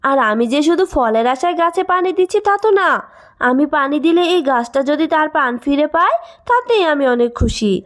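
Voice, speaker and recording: A woman's voice: sits high, expressive, brisk. A woman voicing a kind, patient young village widow and mother in a cartoon moral story, speaking earnestly. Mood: patient, hurt. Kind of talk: animated moral story acting.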